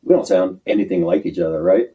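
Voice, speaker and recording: A man's voice: deep voice, quick pace, muffled recording. An American man from western Pennsylvania talking casually as a band member being interviewed. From Western Pennsylvania, United States. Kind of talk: casual chat.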